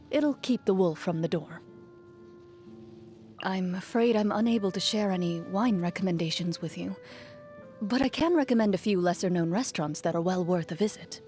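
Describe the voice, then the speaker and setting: An actress playing a cool, composed young woman, a champion duelist in a fantasy video game, speaking in a measured, formal and restrained way. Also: mid-range voice, a little mellow, natural voice. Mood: calm, polite. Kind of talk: scripted video game character voicelines.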